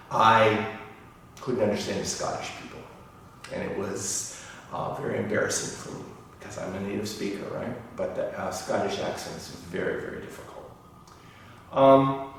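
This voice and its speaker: A middle-aged man from Ohio with a Midwestern American accent, a university lecturer explaining concepts in an animated teaching video. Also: lowish voice, a little hiss or hum. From Ohio, United States. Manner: animated, gesturing, explaining in plain terms. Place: indoor office room. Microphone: far camera. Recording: echoey room, distant mic.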